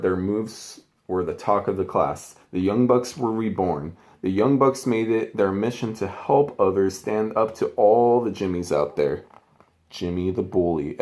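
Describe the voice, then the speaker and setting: A man's voice: sits low, a little mellow. A man reading a children's storybook aloud in an informal, chatty way, with casual asides. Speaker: amateur storybook reader.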